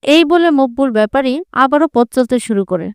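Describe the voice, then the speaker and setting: A woman's voice: sits high, animated, brisk, clear, resonant tone, no echo, clean studio sound. A woman reading scripted story narration for a cartoon.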